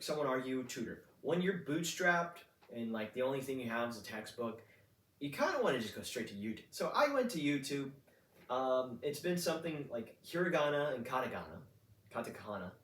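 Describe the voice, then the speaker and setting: A man's voice: mid-range voice, a bit bright. A man in his twenties, talking in a rambling casual monologue full of fillers and restarts. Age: 20s. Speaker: vlogger. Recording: roomy echo, distant mic.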